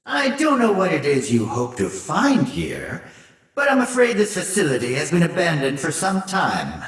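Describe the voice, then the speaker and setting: An older mad scientist villain from a video game, gleefully condescending and sinister. His rather high voice swoops extravagantly through mock-courteous, drawn-out phrases. It rings in a roomy, echoing space. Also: wildly swooping pitch, a bit bright. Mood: mock-polite menace. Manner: mocking, theatrically polite, drawn-out phrasing. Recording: roomy echo, distant from mic.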